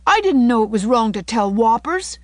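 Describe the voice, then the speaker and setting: A woman's voice: mid-range voice, sing-song, normal speed, dry recording, voice pushed up. A woman voicing a mischievous but earnest little boy from a storybook, talking in frank, childish colloquial speech. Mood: remorseful, pleading. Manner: childish colloquial talk, dropped syllables.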